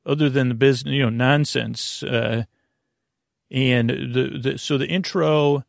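A man hosting a sleep podcast in a meandering, halting monologue, often losing his thread. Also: medium pitch, fairly even intonation, vocal fry, no echo, studio quality. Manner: meandering, halting, loses his train of thought. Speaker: podcast host.

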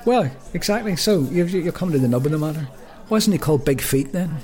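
A man's voice: a little high, expressive, fast talker, mellow, faint music behind. A man co-hosting a podcast, chatting casually and jokily, often stumbling and restarting his sentences. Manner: chatty and jokey, with frequent stammered restarts. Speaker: podcast co-host. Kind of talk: casual chat.

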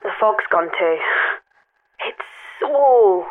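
An actress playing a reflective, weary but serene climber in an audio drama, talking gently and hesitantly through a telephone filter. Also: female voice, mid-range voice, animated, extremely slow. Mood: awed, serene. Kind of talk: naturalistic audio drama acting, intimate conversation. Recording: tinny phone line, music underneath.

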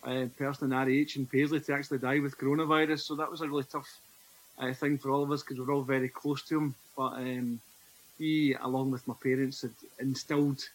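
A Scottish man in his forties speaks as a podcast guest, earnest and reflective as he tells his own story. His pitch sits in an ordinary middle range for a man. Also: little pitch movement, brisk, rough recording. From Scotland. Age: 40s. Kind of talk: personal testimony in conversation.

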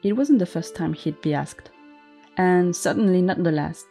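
Woman, storytelling narrator, low-pitched, warm tone, gentle measured delivery, faint music behind.

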